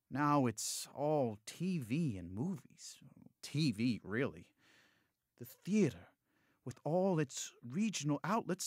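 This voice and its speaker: A nervous, weary stage actor, played by a man, speaking slowly in a lively, rising-and-falling voice. He stammers and hesitates, and the sound is dry and close. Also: mid-range voice, no echo. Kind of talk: audio drama acting.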